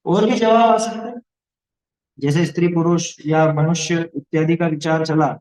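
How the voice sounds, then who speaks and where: A man's voice: fairly high pitch, fairly even intonation, moderate pace, darkish tone, low-quality recording. A man teaching a religious studies lesson to a class of children, explaining points and asking the class questions.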